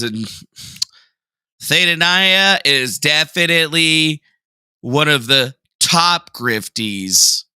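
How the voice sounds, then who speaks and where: A man's voice: mid-range voice, pushed and bright, clear, resonant tone, no room sound. A young man casually hosting a livestream, joking sarcastically and reading viewer messages aloud. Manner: jokey, sarcastic, self-mocking; reads chat aloud and reacts. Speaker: livestream host.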